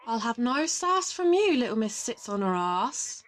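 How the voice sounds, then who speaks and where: A woman's voice: fairly high pitch, big pitch swings, measured pace. A woman playing a brash, hard-drinking young agent in an audio roleplay, teasing and slangy. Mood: cheeky, indignant.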